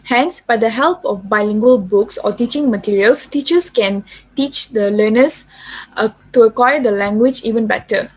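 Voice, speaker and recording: A woman's voice: fairly high pitch, normal speed, darkish tone, slightly rough, low-quality recording. A young woman from Malaysia presenting in class, somewhat hesitant with fillers. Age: young adult. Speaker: student presenter.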